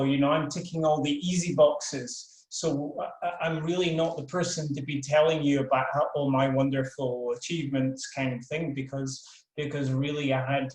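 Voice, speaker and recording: A man's voice: mid-range voice, roomy sound, low-quality recording. An older man from Scotland with a Scottish accent, a professor giving a frank webinar talk, full of fillers and restarts. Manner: frank, self-deprecating, many fillers and restarts. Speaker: professor of world politics.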